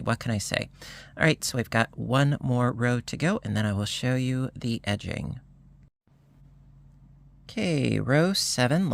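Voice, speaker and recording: A crochet instructor, slightly hoarse, calmly calling out each stitch in a step-by-step tutorial. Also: medium pitch. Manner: calm, unhurried, talks through each stitch.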